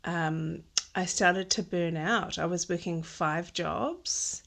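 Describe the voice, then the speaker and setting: A woman in her thirties with a low voice. Her speech has a gravelly, creaky edge. She talks about her working life in an unhurried way, pausing on ums as she thinks. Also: a bit bright. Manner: thoughtful, many ums and restarts. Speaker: podcast guest, textile designer. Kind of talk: casual interview conversation.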